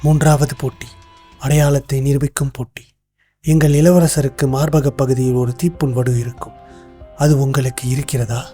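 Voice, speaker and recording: An actor voicing a wise, cautious royal minister in a cartoon, speaking formally and in a measured way. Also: male voice, medium pitch, flat delivery, dark tone, faint music behind, natural voice. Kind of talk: cartoon voice acting.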